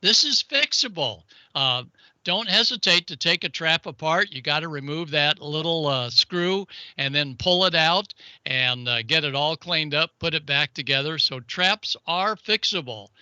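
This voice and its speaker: A man's voice: a little high, lively intonation, unhurried, bright, forceful tone, no echo, highs cut off. A man presenting an informal technical explainer in a folksy way, with playful asides and exclamations. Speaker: amateur radio show segment presenter.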